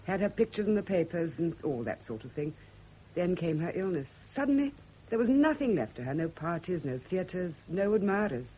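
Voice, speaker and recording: A refined middle-aged spinster in a radio play, husky and lively in pitch, speaking with genteel, intense earnestness. The vintage recording sounds dull and boxed in. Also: female voice, normal speed. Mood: wistful, measured. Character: refined, devoted unmarried woman, cultured and intense. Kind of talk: radio drama acting.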